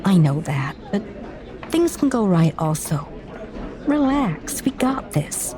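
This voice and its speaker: A woman's voice: medium pitch, very animated, takes it slowly, crowd noise, pitched above the performer's own voice. A woman voicing a breezy, reassuring female business partner, confident and flirty, in an audio drama.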